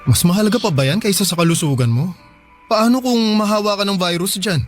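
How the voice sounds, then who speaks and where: A man acts as a protective Filipino husband in a radio drama, fretting and urging in a natural, conversational way. His voice sits a little high for a man. Soft music runs faintly behind him. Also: fairly quick, natural voice. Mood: anxious, urging. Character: anxious, protective provincial husband on a phone call.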